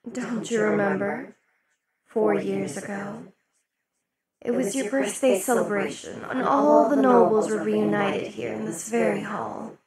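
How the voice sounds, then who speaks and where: A woman voicing a possessive, imperious demon queen in an ASMR roleplay, speaking slowly and sinking into soft whispers. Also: medium pitch, close to an everyday voice, rough recording. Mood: silky, possessive. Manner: slow, velvety, dips into whispers. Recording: loud party crowd under the voice. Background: crowd chatter of a party.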